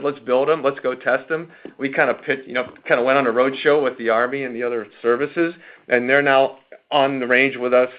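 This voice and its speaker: A middle-aged or older American man speaking as a company executive, giving a conversational, anecdotal, unscripted answer that halts and repeats words. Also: medium pitch. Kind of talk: unscripted spoken answer on an earnings call. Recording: narrow phone-like conference call line.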